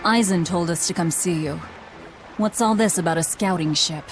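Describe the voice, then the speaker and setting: Woman playing a cold, blunt, low-pitched young fugitive. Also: moderate pace, faint music behind, lightly acted. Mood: guarded. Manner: curt, blunt. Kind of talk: dramatic game voice acting.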